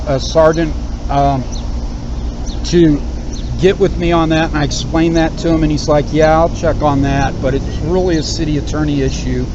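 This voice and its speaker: Man on a noisy, muffled call. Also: fairly high pitch. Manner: halting, repeats himself, explanatory. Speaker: caller complaining to city official. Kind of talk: phone conversation. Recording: muffled call, loud car and outdoor noise.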